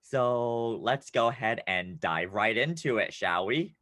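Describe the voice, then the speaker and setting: A man's voice: medium pitch, a little slow, dry recording, clean studio sound. Upbeat man teaching a class lesson. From United States. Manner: upbeat, playful, with bursts of excitement. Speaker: teacher presenting a class video.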